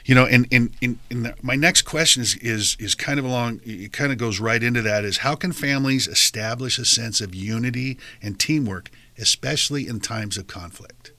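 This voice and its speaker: A middle-aged man hosting a podcast with a loud voice, talking passionately and often restarting his sentences. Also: mid-range voice, normal speed, hardly any pauses, no echo. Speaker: podcast host. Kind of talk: casual podcast conversation.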